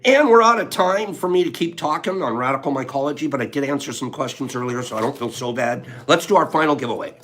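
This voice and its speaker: A man's voice: mid-range voice, lively intonation, fast, few pauses, fairly bright tone, slight background noise. A man hosting a casual livestream, chatting with viewers and running giveaways in a playful, teasing way. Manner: playful, teasing, talks straight to chat. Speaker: livestream host.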